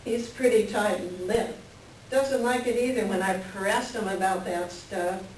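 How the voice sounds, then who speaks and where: A woman's voice: medium pitch, a little slow, a little mellow, lightly acted. A woman playing a lonely, frustrated farm wife in a play reading, plain-spoken and wistful. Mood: resigned, frustrated. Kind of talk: staged play reading, conversational dialogue. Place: library reader's theater reading. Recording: echoey room from far off, faint noise.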